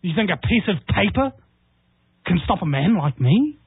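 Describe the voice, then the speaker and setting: A man from New Zealand speaks with a husky voice that sits a little high, in combative, rousing talk-radio style. He comes through a thin, tinny phone line in a dry, close sound. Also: big pitch swings, moderate pace, no room sound. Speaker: radio show host appearing as guest. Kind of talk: impassioned talk radio conversation.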